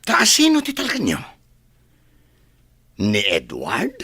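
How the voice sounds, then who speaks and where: A man's voice: lowish voice, huge pitch swings, slow pace, pushed and bright, full character voice. A man with an Ilocano accent playing a resentful, demanding adopted son in a television drama, confrontational and insistent. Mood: bitter, demanding.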